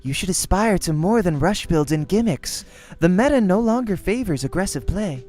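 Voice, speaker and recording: A man's voice: sits high, expressive, normal speed, soft background music, pitched above the performer's own voice. Man voicing a reserved, formal young gamer woman. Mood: blunt, critical. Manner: quiet, precise, formal delivery.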